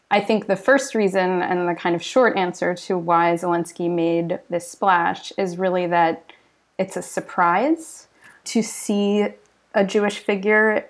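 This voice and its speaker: A woman speaking as a panelist on a podcast, phrasing her thoughts carefully. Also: medium pitch. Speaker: panelist, writer and teacher. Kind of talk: casual panel discussion.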